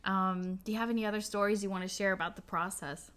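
A woman's voice: mid-range voice, steady tone, fast talker, fairly bright tone. A woman co-hosting a radio podcast, putting questions to a guest and reading show announcements. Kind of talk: interview hosting and scripted sign-off.

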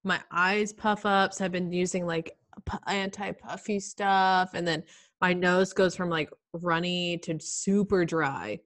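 Woman, low-pitched, stuffy nose, laid-back podcast chat. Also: fairly even intonation, unhurried, a bit bright. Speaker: podcast co-host. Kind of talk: casual chat.

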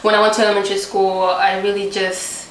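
A woman's voice: mid-range voice, flat delivery, a little fast, echoey room, a little hiss or hum, poor audio. A young woman from Tanzania, a guest, chatting casually in an interview and filling her sentences with "like" and "um". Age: young adult. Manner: chatty, many "like" and "um" fillers.

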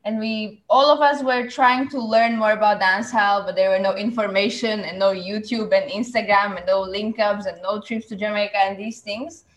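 A woman's voice: medium pitch, steady tone, moderate pace, few pauses, echoey room, muffled recording. Lady in her 30s, guest on a podcast, rambles a bit, laughs easy. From Slovakia. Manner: chatty, searching for words, laughs easily. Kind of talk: casual interview.

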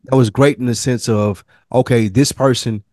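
Slow-talking man, dry close mic. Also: mid-range voice, steady tone, darkish tone, no room sound. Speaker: podcast co-host. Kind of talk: casual chat.

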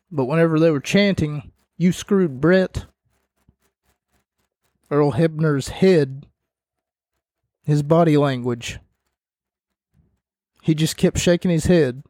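A man's voice: on the high side, slow, pauses often, darkish tone, no echo, studio quality. A man giving a casual spoken review and recap as host, rambling with jokey asides, his voice starting to wear out. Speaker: wrestling show reviewer, host.

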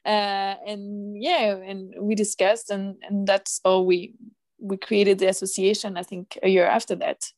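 A woman from France, a podcast guest who speaks thoughtfully and pauses to find her words. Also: mid-range voice, level tone, darkish tone, no room sound. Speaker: guest, zero waste association founder. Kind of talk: podcast interview.

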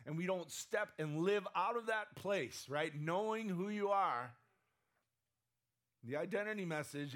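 A man's voice: high-pitched, fairly bright tone. A man preaching a conversational sermon, often asking the room "right?", his voice strained and sometimes cracking. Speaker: pastor.

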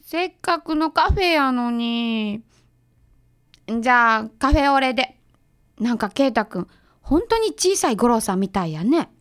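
Radio drama assistant, young woman played by an actress, high voice, Kansai accent, casual and easily delighted. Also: lively intonation, measured pace. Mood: playful. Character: easygoing, sweets-loving young woman, detective's assistant.

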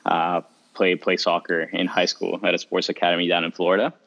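A young man from Mexico City chatting as a podcast guest, relaxed and halting, with frequent restarts. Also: low-pitched, gravelly, lo-fi sound. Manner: relaxed, halting with many restarts and light laughs. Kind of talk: casual interview chat.

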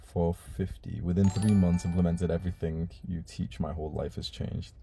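Low, dark-voiced young man streaming. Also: steady tone, normal speed, gravelly, soft background music. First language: Urdu. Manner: laid-back, swears casually, reads chat messages aloud. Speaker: live stream host reading donations. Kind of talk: casual live stream chat.

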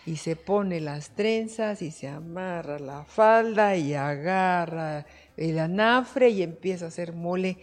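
Affectionate lower-voiced woman host. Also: slow pace. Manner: affectionate, emotive, expansive. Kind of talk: talk show interview.

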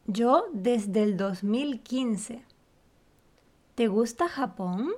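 A woman from the Canary Islands, a teacher co-hosting a slow, scripted beginner lesson with clear, deliberate phrasing. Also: on the high side, lively intonation, mellow. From Canary Islands, Spain. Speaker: podcast co-host, Spanish teacher.

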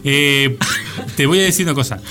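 A man's voice: medium pitch, moderate pace, bright, forceful tone, faint music behind. An Argentine man hosting a casual comic radio show, teasing his colleagues with mock solemnity and crude jokes.

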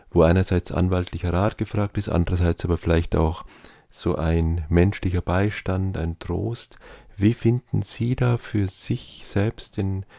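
Male podcast host, deep voice, gravelly, thoughtful, elaborate questions. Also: mellow, dry recording, studio quality.

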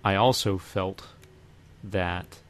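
A man hosting a podcast, casually discussing films and giving his opinions in a measured, hesitant way. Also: low-pitched, fairly even intonation. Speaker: podcast host.

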